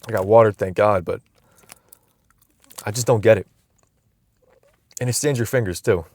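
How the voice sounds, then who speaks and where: A man's voice: on the low side, normal speed, a little mellow, no room sound. A young man vlogging from inside a car, musing aloud in a loose, rambling way with frequent restarts, recorded on a phone camera. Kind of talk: casual rambling vlog.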